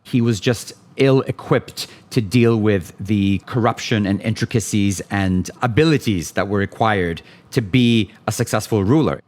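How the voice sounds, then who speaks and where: A man's voice: fairly low pitch, a little slow, hardly any pauses, a little mellow. A man in an interview speaking reflectively as a director, with emphatic repetition. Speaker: theater director being interviewed.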